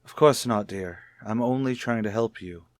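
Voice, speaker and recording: An actor playing a reasonable, soothing husband in an old radio soap, speaking in a measured, explanatory way. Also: male voice, fairly low pitch, dry recording, clean studio sound, lightly acted. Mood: gently persuasive. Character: reasonable, soothing husband confiding in his anxious wife. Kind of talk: mid-century radio soap acting.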